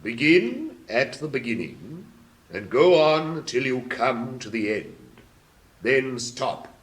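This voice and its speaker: A woman's voice: very deep voice, sing-song, slow, exaggerated voice. A woman voicing a muddled, self-important old King in a storybook reading, with pompous, flustered manner and sudden shifts from barked orders to muttering.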